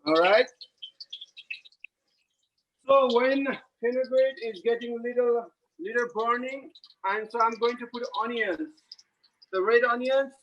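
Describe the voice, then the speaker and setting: Casual: nepali guy with a really high voice walking you thru his curry step by step, halting simple english, faint hiss behind. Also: lo-fi sound. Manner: halting, plain English, narrating each step as he cooks. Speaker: home cook giving a cooking demo. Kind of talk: live cooking demonstration.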